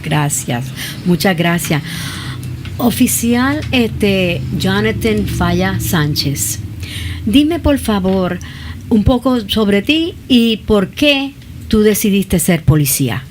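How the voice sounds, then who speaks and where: A woman's voice: medium pitch, very animated, slow, over music. Bilingual woman hosting an interview. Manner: switches between Spanish and English, asks questions with fillers. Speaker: talk show host.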